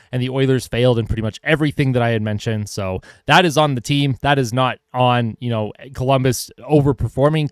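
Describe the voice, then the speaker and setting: A man hosting a solo hockey podcast, talking casually and giving opinions, with lots of "uh"s and his voice going a bit hoarse. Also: mid-range voice, dry recording, clean studio sound. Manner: conversational, filler-heavy, opinionated. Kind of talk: solo sports commentary podcast.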